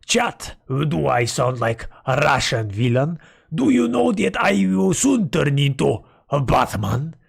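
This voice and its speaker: A man streaming and chatting casually with his viewers in a rambling, playful, mock-dramatic way, his voice hoarse from a sore throat. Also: on the high side, sing-song, a little slow. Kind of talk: casual livestream chat with viewers.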